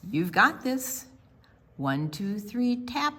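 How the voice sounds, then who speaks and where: An older woman reading aloud to small children at storytime, theatrical, stretching words and dropping into hushed asides. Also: mid-range voice, very animated, takes it slowly. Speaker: children's library storytime reader. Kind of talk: animated read-aloud narration for small children.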